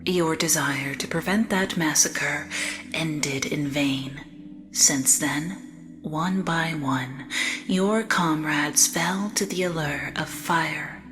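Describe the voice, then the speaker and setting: Woman as slow, solemn porcelain doll guide. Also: deep voice, fairly bright tone. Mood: cutting, stern. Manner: measured, deliberate, formal and grave. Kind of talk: gothic audio drama acting. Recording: music behind the voice.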